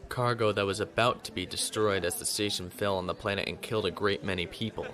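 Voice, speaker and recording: A man acting a composed young noble naval lieutenant in a science fiction audio drama, formal and measured, coolly defiant. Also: on the low side, fairly even intonation, a bit bright, natural voice. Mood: composed, dry.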